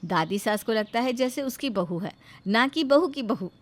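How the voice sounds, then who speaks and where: A woman narrating a scripted moral story in an expressive storytelling style. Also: fairly high pitch, normal speed, no echo.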